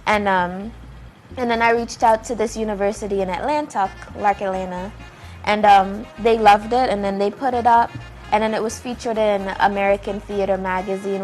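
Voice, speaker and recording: A woman's voice: medium pitch, steady tone, moderate pace, a little mellow, faint music behind, poor audio. A woman speaking casually and conversationally as a guest in an interview.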